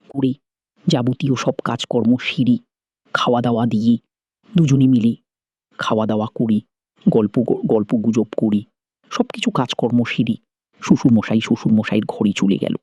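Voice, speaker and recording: A woman tells a dramatized story in an informal, conversational manner, repeating herself as she goes. Her voice is mellow and moves widely in pitch, recorded very dry. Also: breathy edge, dry recording. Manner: conversational, repetitive, with filler words.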